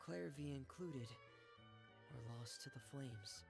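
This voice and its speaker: An actor playing a quiet, earnest young man of a family in voiced game dialogue, with a soft-spoken manner. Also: medium pitch, slow pace, creaky, music in the background.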